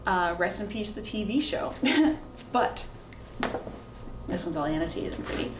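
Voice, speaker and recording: A woman's voice: expressive, unhurried. Chatty woman in an echoey room. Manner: informal, opinionated, chatty. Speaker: book reviewer, video host. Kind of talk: casual talk to camera recommending books. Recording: roomy echo, faint background noise and rumble.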